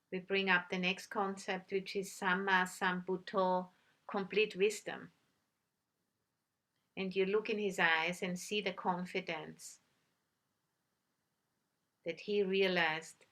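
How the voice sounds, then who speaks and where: A woman teaching a guided meditation, giving gentle instructions with long pauses between phrases. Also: fairly low pitch.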